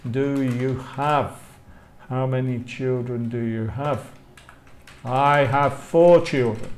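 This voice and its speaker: A man's voice: medium pitch, darkish tone. A man with an English accent teaching a language lesson, enunciating carefully and repeating phrases. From England. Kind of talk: live online language lesson, reading model questions and answers.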